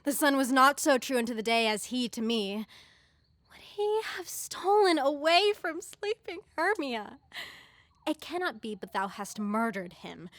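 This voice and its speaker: A woman's voice: high-pitched, sing-song, measured pace, fairly bright tone, no echo, heavily acted. A woman playing a fierce, heartbroken young lover in a Shakespeare audio drama, impassioned and accusing in verse. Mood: accusing, anguished.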